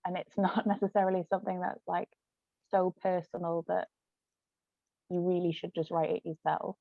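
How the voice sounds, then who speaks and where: British woman chatting in an interview, kinda low voice, sounds like a phone line, no room echo. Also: little pitch movement, moderate pace, vocal fry. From United Kingdom. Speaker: co-author and ghostwriter being interviewed. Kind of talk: casual interview chat.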